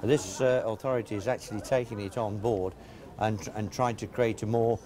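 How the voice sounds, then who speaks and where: A man giving a halting, informal explanation as a spokesman, recorded outdoors in a town. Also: lowish voice, steady tone, warm tone. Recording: noisy outdoor urban ambience.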